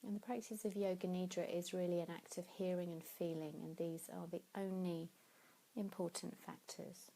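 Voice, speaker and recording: A woman leading a guided sleep meditation in a soothing, unhurried way, pausing between phrases. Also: fairly low pitch, flat delivery, creaky, mellow. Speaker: yoga nidra meditation teacher.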